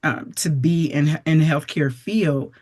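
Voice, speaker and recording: A woman's voice: sits low, measured pace, a little mellow. A woman hosting an interview, enthusiastic and affirming, with many encouraging interjections. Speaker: interview host. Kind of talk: casual interview conversation.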